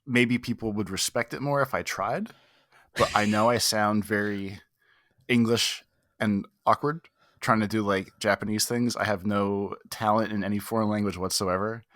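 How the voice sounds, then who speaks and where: A man's voice: fairly low pitch, fairly even intonation, normal speed, a bit bright, no room sound, clean studio sound. A man co-hosting a casual show review, wry and self-deprecating, sometimes swearing. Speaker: podcast co-host. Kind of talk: casual chat.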